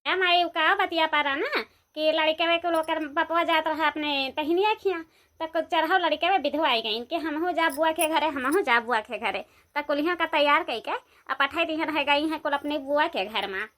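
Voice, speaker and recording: A plain-spoken young village woman from a cartoon, speaking in an Awadhi accent, voiced by a woman. Her voice sits high. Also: moderate pace, a bit bright. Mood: explaining. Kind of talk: cartoon voice acting.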